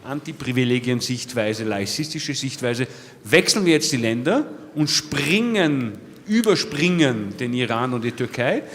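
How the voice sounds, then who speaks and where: A man's voice: mid-range voice, expressive, a little slow. A man moderating a conference, introducing the next speaker informally and often saying "ja". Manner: informal, repeats "ja". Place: lecture hall. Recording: reverberant lecture hall.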